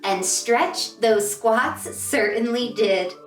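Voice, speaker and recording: A woman reading aloud to children as a story-time host, with an expressive, deliberate storytelling delivery. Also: on the high side. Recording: roomy echo, distant mic, music behind.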